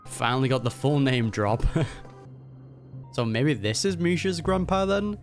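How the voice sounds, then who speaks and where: A man's voice: mid-range voice, expressive, a little slow, faint music behind. Laid-back young man reacting to a game. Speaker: gaming video creator reacting to a game story. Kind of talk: casual reaction commentary and reading game text aloud.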